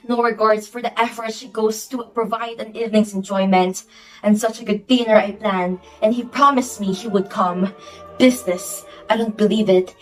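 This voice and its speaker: A haughty society hostess, acted by a young woman, pitched fairly high and recorded at a distance. She is a domineering, melodramatic mother in an amateur play, quick to outrage. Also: close to an everyday voice. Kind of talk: amateur stage-play acting. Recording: distant mic under music.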